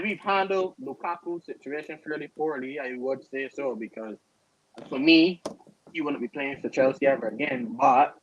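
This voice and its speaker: Young guy chatting football, laid back, trails off, dull lo-fi sound. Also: on the high side. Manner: relaxed, trailing off, slangy. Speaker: co-host. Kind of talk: casual football chat.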